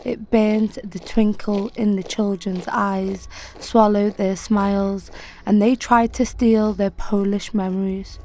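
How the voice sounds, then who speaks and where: A woman poet giving a slow, measured, solemn spoken-word poetry reading. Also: medium pitch, little pitch movement, warm tone, a little hiss or hum, rough recording.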